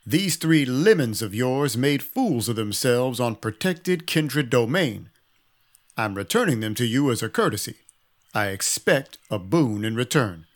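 An actor playing a cynical, violent vampire detective, narrating a noir audio drama with wry menace. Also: male voice, mid-range voice, animated, unhurried, close to an everyday voice. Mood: grim, menacing. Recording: music behind the voice. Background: music.

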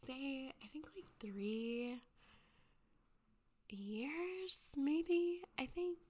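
A young woman with a stuffy cold, chatting softly and half-whispering as a ghost story podcaster, rambling with many fillers and a girly voice. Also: high voice, extremely slow. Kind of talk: soft whispered casual chat.

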